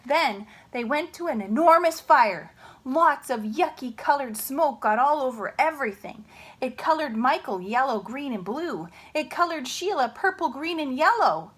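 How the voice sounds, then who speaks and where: A woman reading a children's story aloud in an expressive, unhurried way, with chatty asides. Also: sits high.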